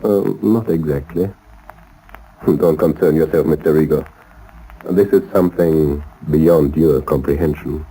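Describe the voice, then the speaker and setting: Deep, mellow, menacing doctor villain. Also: male voice, husky, full character voice, low-quality recording. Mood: smug, curious. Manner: formal, deliberate, polite menace with hesitant pauses. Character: suave, menacing male doctor, a cold-blooded saboteur. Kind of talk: 1930s radio drama acting. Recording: old 1930s radio recording.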